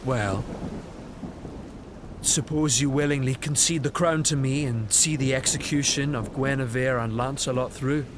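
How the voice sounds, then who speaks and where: A scornful young prince played by a man in an audio drama, bent on revenge. He speaks with cold, deliberate mockery and embittered weight, with loud stormy rain and thunder around him. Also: medium pitch, fairly even intonation, background noise, lightly acted. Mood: cold menace. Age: young adult.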